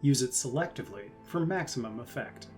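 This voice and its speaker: A man with a mid-pitched voice reads guidebook text aloud over faint music. His scripted delivery is measured and dramatic as he explains. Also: expressive, darkish tone. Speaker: reader of rulebook quotations. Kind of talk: scripted read-aloud narration.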